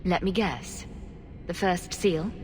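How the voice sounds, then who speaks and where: An actress playing a resolute, civil young adventurer in a video game, speaking in a direct, questioning way. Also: female voice, fairly low pitch, slow pace, music in the background. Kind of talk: dramatic video game acting.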